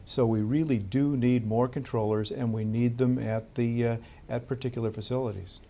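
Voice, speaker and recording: Male expert interviewee, warm dark tone, middle pitch, unscripted answers. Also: steady tone, normal speed.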